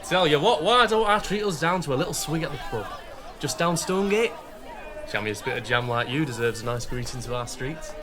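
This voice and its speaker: A man's voice: a little high, expressive, a little fast, a crowd in the background, background noise, close to an everyday voice. An actor playing a cheeky but kindly young working-class Victorian man with an English accent, informal and slangy, in a period radio drama. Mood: friendly.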